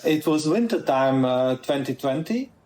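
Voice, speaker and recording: A man's voice: medium pitch, lively intonation, a little slow, a little mellow, roomy sound. A man from Croatia with a Croatian accent, a guest in a conversational interview, earnestly laying out his points in order.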